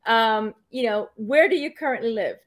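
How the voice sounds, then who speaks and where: A woman's voice: on the high side, normal speed. Hesitant woman interview host. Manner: hesitant, many fillers and restarts. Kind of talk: online interview.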